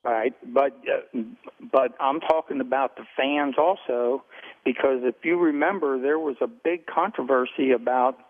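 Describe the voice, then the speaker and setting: American man on a tinny phone line. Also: mid-range voice. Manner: halting, hesitant, searching for words. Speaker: caller to a radio show. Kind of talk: unscripted phone-in opinion. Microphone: telephone.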